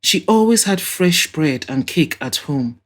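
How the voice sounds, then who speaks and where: A woman's voice: sits low, lively intonation, a little slow. A woman voicing an effusive, nostalgic older mother and hostess in an audio drama. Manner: effusive, exclaiming, reminiscing.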